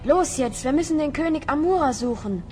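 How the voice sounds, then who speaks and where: High-voiced young fantasy hero. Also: female voice, animated, a little fast, music in the background. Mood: decisive. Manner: decisive, earnest. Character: brave sensible red-haired young magical hero. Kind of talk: fantasy radio play acting.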